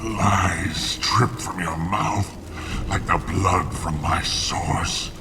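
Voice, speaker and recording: A man's voice: low voice, fairly even intonation, distant mic, noisy background. An actor voicing a bitter, accusing male alien dying of plague, sick and coughing, in a video game. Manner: slow, accusing, venomous.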